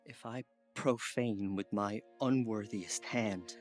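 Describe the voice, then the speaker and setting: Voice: mid-range voice, takes it slowly, soft background music, lightly acted. An actor playing a tender, ardent, lovestruck youth in classical Shakespearean verse. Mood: tender wooing. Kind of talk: classical Shakespearean stage acting.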